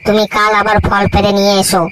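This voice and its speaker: Cartoon crocodile wife, bossy and scheming, high and flat, distant; male actor. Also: quick pace, background noise, lightly acted. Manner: sharp-tongued and nagging. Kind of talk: cartoon voice acting.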